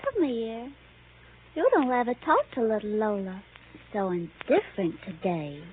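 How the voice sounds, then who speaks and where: A woman's voice: a little high, animated, measured pace, phone-quality sound, slight background noise. An actress playing a coquettish young woman who gushes cloying baby talk to her lapdog in a 1930s radio comedy. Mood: coy, pouting. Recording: thin, band-limited 1930s radio recording, crowd.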